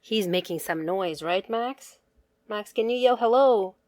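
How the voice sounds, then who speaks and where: A woman presenting a quiz for young children, asking questions and answering them in a deliberate, carefully enunciated, teacherly manner. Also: mid-range voice, a little mellow, no room sound, clean studio sound.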